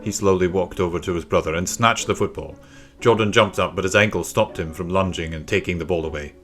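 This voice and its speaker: A man's voice: sits low, brisk, fairly bright tone, soft background music. A man reading a scripted children's story as an audiobook narrator.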